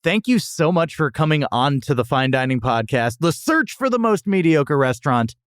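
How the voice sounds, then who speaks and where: A man's voice: on the high side, sing-song, normal speed, clean tone, no room sound, clean studio sound. A man hosting a podcast in a casual, bantering conversational style. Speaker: podcast host. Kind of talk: casual bantering review chat.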